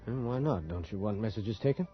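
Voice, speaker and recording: An actor playing a cynical, wry reporter with a husky voice and a sardonic manner in a radio drama. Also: male voice, fairly low pitch, animated, brisk, soft background music, lightly acted. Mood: wry, suspicious. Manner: sardonic, muttering to himself. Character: cynical, wry male reporter, an outsider in town. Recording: muffled early 1980s radio broadcast.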